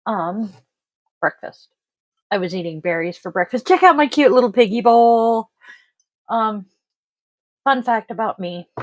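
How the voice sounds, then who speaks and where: A woman's voice: mid-range voice, no echo. A middle-aged woman from Washington State hosting a casual tarot livestream, tired and congested, rambling and trailing off with sighs. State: congested, sniffly. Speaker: tarot reader and livestream host.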